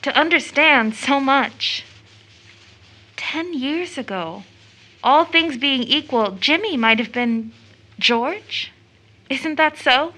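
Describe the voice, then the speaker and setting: An actress playing an earnest, idealistic young daughter in a stage-style audio drama, speaking with emphatic, declamatory feeling. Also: female voice, high voice, lively intonation, slow, pauses often, bright, forceful tone, exaggerated voice, low-quality recording. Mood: pensive, hesitant.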